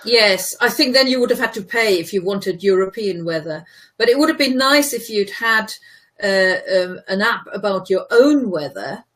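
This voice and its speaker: German native lady teaching vocab, patient, laughs a lot, mid pitch. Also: lively intonation, normal speed, fairly bright tone. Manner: patient, explanatory, laughs easily. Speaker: German tutor. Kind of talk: casual language lesson chat.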